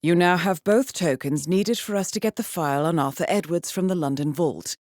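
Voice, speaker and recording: An actress playing a composed, dryly witty intelligence handler in a video game, briefing an assassin in a formal, understated, ironic manner. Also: female voice, fairly low pitch, normal speed, darkish tone, no room sound, clean studio sound, close to an everyday voice. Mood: composed, businesslike. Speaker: mission handler.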